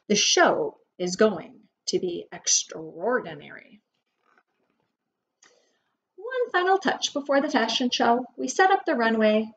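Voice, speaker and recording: A middle-aged woman preschool teacher reading a picture book aloud expressively to young children, with dramatic emphasis and simple explanations. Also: on the high side. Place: indoor room at home. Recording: dull webcam audio with faint noise.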